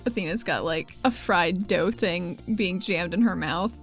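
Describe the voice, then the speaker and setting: A woman's voice: fairly low pitch, a little slow, faint music behind. A woman chatting and narrating her character's actions casually as a player in a tabletop game.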